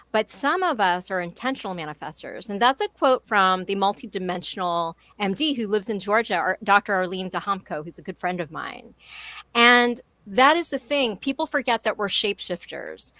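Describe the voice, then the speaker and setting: A woman's voice: mid-range voice, lively intonation, moderate pace, sharp tone, no echo. A woman in her forties speaking as a guest in an interview, emphatic and enthusiastic in a motivational way. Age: 40s. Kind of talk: conversational interview.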